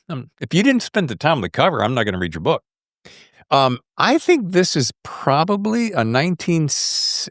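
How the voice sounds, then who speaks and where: A man from the US South hosting a podcast in casual interview chat, animated and rambling with folksy slang. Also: medium pitch, dry recording, studio quality. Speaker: podcast host.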